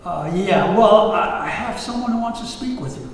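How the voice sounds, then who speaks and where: A man's voice: high-pitched, lively intonation, normal speed, a little hiss or hum. A man playing an eager, prying company boss at a drama table read, recorded at a distance in an echoey room. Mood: nervous, sweet.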